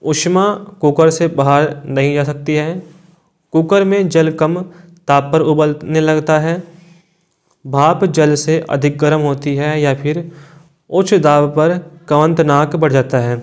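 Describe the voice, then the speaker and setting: A man's voice: a little high, steady tone, slow, smooth. A man, an exam coaching teacher reading out quiz questions and giving answers in an informal, brotherly way.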